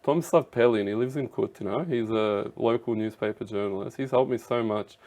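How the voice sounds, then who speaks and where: A man's voice: fairly low pitch, brisk, a little mellow. A man in his thirties from Canada, a podcast guest talking earnestly in casual chat, with many filler words. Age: 30s. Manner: earnest, lots of "you know" and "um" fillers.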